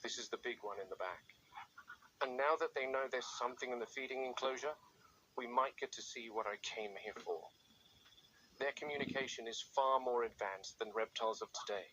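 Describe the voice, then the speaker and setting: Man acting as a grim, forceful game warden. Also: mid-range voice, brisk, lightly acted, low-quality recording. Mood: watchful, grave. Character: grim, watchful male game warden logging dangerous captive raptors. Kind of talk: scripted found-footage field log narration. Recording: faint background noise.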